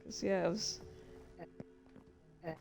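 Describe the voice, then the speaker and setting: A woman's voice: takes it slowly, darkish tone, faint music behind. A woman telling gaming stories casually as a host, in a rambling, informal, sweary way. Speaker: host of a reading and gaming channel. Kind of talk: casual storytelling about gaming.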